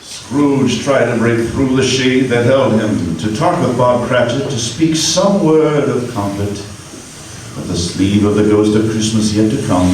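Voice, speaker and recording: A man narrating a radio play in a measured, dramatic manner, heard on an old broadcast recording. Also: fairly low pitch, darkish tone, very echoey, distant mic, a little hiss or hum, poor audio. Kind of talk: scripted narration.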